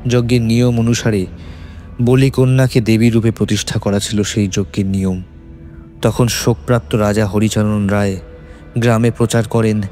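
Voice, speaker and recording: A man's voice: mid-range voice, warm tone. A man with a Bengali accent narrating a scripted horror story in a dramatic, suspenseful way.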